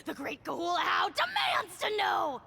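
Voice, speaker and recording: An actor voicing an arrogant male dragon spirit who speaks grandly of himself in the third person, scornful and gravelly. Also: very high voice, wildly swooping pitch, normal speed, bright, forceful tone, raised voice, a little hiss or hum, full character voice. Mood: gleeful. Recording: game audio with clear music underneath.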